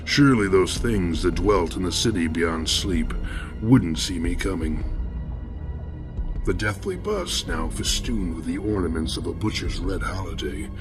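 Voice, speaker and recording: An actor playing a serene, philosophical serial killer who narrates horror fiction in measured, florid, formal phrases. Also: male voice, deep voice. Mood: calm, musing.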